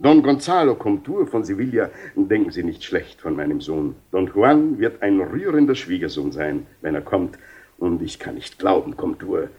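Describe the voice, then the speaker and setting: An actor playing an exasperated older father in a theatrical 1970 German radio play, husky and full of complaint. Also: low voice, expressive, normal speed, lo-fi sound, heavily acted. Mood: pleading. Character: exasperated, aggrieved older Spanish father of a grown son.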